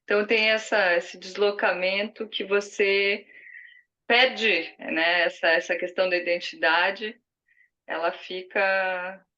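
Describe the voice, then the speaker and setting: A woman in an academic discussion group, calmly building on a colleague's point over a call. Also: medium pitch, takes it slowly, muffled recording. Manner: calm, building on another's point. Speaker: discussion participant.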